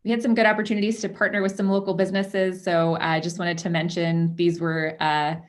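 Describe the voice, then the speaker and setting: Lower-voiced woman giving a presentation. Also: flat delivery, a little fast. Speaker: outgoing chair of a market board. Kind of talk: prepared presentation at a public meeting. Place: video conference call.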